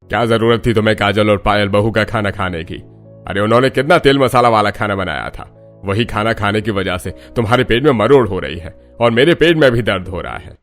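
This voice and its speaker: An older family man from a cartoon tale, voiced by a man. His voice is on the low side, measured and fatherly, with faint music underneath. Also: fairly bright tone. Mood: scolding. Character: older father-in-law, plain-spoken and caring. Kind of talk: cartoon story character voice.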